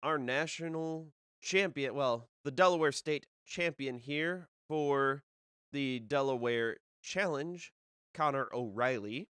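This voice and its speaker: A young man talks on his own as the host of a casual show, rambling and restarting his sentences. He sounds a bit nasal from a cold. His voice is smooth and resonant, with a dry, close sound and no room echo. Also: mid-range voice, takes it slowly, fairly bright tone. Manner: rambling, with many fillers and restarts. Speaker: disc golf podcast host. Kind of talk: casual solo live-stream talk.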